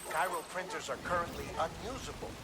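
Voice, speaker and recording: An actor playing an earnest male mission handler in a video game, giving measured, formal, instructive briefings. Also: a little high, steady tone, over a noisy crowd, lightly acted. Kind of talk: scripted video game dialogue.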